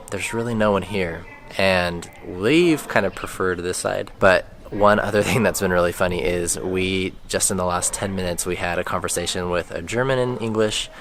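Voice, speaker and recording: Man, young, American accent, low voice, relaxed conversational vlog style, outdoor recording with background noise. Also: normal speed. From United States. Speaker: travel vlogger. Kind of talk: casual vlog chat. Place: outdoors in a town.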